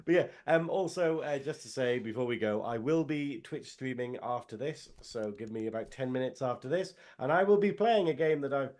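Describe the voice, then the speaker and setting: A man's voice: medium pitch, normal speed, hardly any pauses, darkish tone. A man, co-hosting a casual podcast and rambling in a jokey way with lots of fillers. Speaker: podcast co-host. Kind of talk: casual chat.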